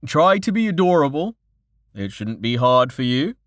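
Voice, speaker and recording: Man acting wily merchant dad, raised lively pitch. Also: moderate pace, no echo, studio quality. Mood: coaxing, firm. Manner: showman-like, coaxing, persuasive. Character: cheerful, wily merchant father, fond but firm.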